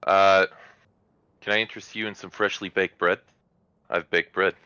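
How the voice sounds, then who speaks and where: A man voicing a hospitable, harried small-town mother in a tabletop game, candid, with halting, conversational phrasing. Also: deep voice, steady tone, sharp tone, clean tone, no echo, lo-fi sound.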